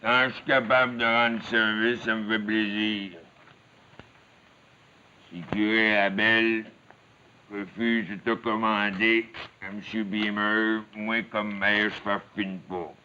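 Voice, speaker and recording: A man's voice: on the low side, level tone, slow, pushed and bright, heavily acted. A man acting as a middle-aged village mayor in a 1950s television drama, sly and folksy, with a Quebec French accent. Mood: self-important, obliging. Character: sly village mayor, needling and falsely modest. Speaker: actor playing a village mayor. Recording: muffled, hissy 1950s television soundtrack.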